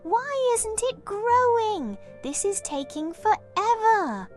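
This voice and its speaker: A woman voicing an impatient, excitable little female bunny in a children's story, exasperated and dramatic. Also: extremely high pitch, takes it slowly, faint music behind, pitched above the performer's own voice, full character voice. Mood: impatient, frustrated.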